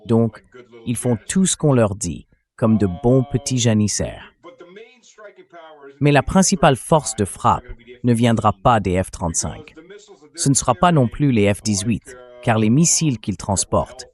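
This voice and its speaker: A man's synthetic voice reading a translated interview as a military commentator, in a steady, explanatory delivery. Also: on the low side.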